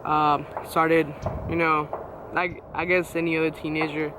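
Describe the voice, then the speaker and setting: Young Venezuelan man, halting testimony. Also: fairly high pitch, flat delivery, noisy background. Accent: Venezuelan Spanish. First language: Spanish. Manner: halting, many fillers and restarts. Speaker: guest sharing testimony. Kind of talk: personal testimony, casual.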